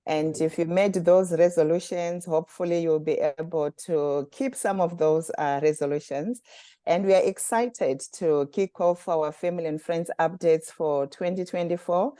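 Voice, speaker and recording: A woman from Zimbabwe hosting a community webinar, reading formal prepared remarks in a measured way. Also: on the low side, mellow, dry recording, clean studio sound. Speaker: communications director and webinar host. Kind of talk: scripted webinar introduction.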